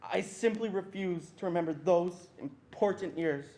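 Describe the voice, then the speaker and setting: A man's voice: high-pitched, moderate pace, dark tone. A young man playing an anxious, self-doubting boy looking back on school in a reader's theatre performance. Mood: anxious, confessional. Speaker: student performer. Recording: echoey, distant mic, faint noise.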